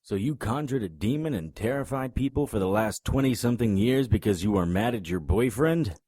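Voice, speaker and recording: Low, deadpan investigator. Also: male voice, a little fast, dry recording, clean studio sound. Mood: sardonic. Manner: deadpan, sardonic. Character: deadpan, mercenary male investigator. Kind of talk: audio drama acting.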